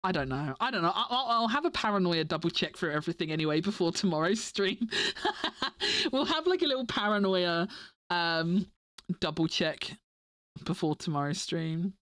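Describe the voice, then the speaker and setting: A woman's voice: fairly low pitch, lively intonation, normal speed, fairly bright tone, no room sound, studio quality. A woman streamer chatting casually to her viewers, stuffy and nasal from a cold, rambling and giggling often. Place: home desk. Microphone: desk mic.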